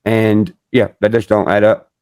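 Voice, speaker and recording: A man's voice: on the low side, little pitch movement, measured pace, a little mellow, clear, resonant tone, no echo. A man chatting casually in loose, laid-back slang. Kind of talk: casual chat with slangy speech.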